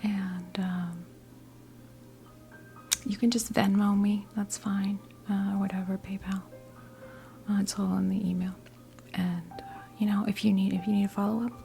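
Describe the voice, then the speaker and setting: An American woman in her fifties playing a kindly witch tarot reader in an ASMR role play, whispering softly, gently and reassuringly with hesitant, unhurried phrasing. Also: mid-range voice, soft background music. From New Jersey, United States. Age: 50s. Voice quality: soft breathy whisper.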